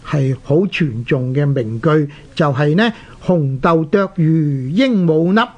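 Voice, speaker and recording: A man with a Hong Kong Cantonese accent presenting a scholarly radio lesson, explaining in a deliberate, didactic way with drawn-out particles. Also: on the high side, darkish tone. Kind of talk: scholarly scripted lecture with recited verse. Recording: dull, lo-fi radio recording.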